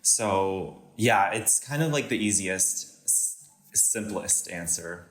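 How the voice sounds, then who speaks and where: A young man from Panama with a Californian-sounding American accent talks casually as a guest, pausing often and restarting his sentences. His voice sits a little low. The room around him echoes noticeably. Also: lively intonation, a bit bright. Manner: hesitant, many fillers and restarts. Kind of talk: casual conversation.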